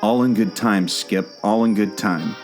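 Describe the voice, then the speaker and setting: A man's voice: on the low side, a little slow, soft background music, lightly acted. A man playing an eager, knowing explorer guide in a scripted audio drama, talking like an earnest storyteller. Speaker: co-host of a theme park podcast.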